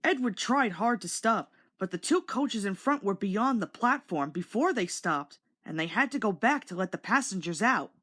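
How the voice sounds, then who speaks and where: A woman's voice: mid-range voice, lively intonation, moderate pace, hardly any pauses, a bit bright. A woman reading a children's story aloud as a scripted read-along narrator.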